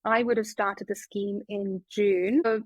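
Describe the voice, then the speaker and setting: A woman's voice: medium pitch, steady tone, measured pace, dry recording. South African woman, chatty and dry-sounding. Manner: chatty, many fillers and restarts. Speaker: guest doctor. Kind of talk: casual interview talk.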